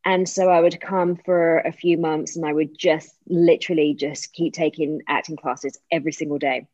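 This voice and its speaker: Actress, guest seat, very low voice, chatty and restless, dull lo-fi sound. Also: female voice, moderate pace, no echo. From England. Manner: chatty, animated retelling with many fillers and restarts. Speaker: actor, interview guest. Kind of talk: casual interview chat. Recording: dull lo-fi recording.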